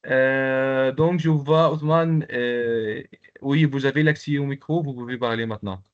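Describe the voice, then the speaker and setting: A man from the Comoros whose first language is Arabic, teaching an online class in a halting way, with many fillers and repeated words. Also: medium pitch, clear, resonant tone. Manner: repetitive, halting, full of fillers. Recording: dull lo-fi online call audio.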